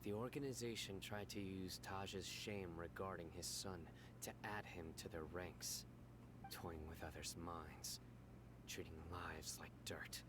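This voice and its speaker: An actor voicing a stern, serious investigator in a video game, with restrained intensity. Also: male voice, low voice, steady tone, measured pace, noisy background. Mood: grave, restrained anger. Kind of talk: dramatic video game dialogue.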